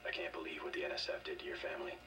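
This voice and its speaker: An actor playing a terse, stoic young American special agent in a video game, with a flat monotone delivery. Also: male voice, extremely low pitch, racing, distant mic. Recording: noisy game audio off TV speakers.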